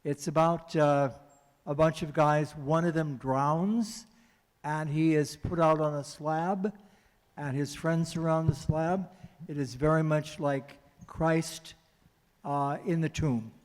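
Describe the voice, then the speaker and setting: A man's voice: on the high side, steady tone, slow pace. A man in the audience putting a long, hesitant question to a panel, with many fillers. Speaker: audience member asking questions. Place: festival panel venue. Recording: hall with long reverb.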